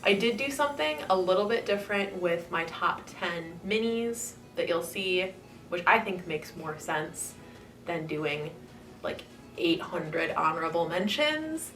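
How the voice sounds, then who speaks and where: A woman's voice: fairly low pitch, animated, a little slow, a bit bright, far from the mic. Congested, chatty woman in an echoey room. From US Midwest. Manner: chatty, enthusiastic, rambling with fillers. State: congested, nasal from a winter cold. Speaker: model horse collector and channel host. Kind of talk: casual vlog talk to camera. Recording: echoey room, voice a bit off-mic, faint music.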